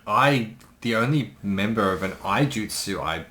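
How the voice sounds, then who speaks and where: A man's voice: lowish voice, lively intonation, a little slow, pushed and bright. A man talking casually as a voice actor taking part in a creator commentary.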